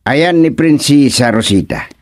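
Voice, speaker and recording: A man playing a confident, jolly king in a radio comedy drama, speaking theatrically with drawn-out exclamations. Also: mid-range voice, animated, no room sound, lightly acted. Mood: reassuring, confident. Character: confident, jolly king going hunting with a medallion.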